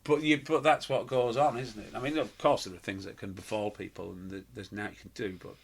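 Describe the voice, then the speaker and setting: Husky middle-aged man. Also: on the low side, fairly even intonation, brisk. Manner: rambling, anecdotal, many fillers and restarts. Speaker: pantomime performer and guest. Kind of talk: casual interview chat.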